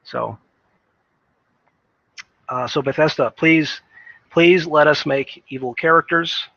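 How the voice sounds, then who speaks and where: A man giving an unscripted opinion monologue about video games, thinking aloud in a halting way and repeating words as he goes. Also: on the high side, vocal fry, pushed and bright, no echo, highs cut off. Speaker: gaming commentator.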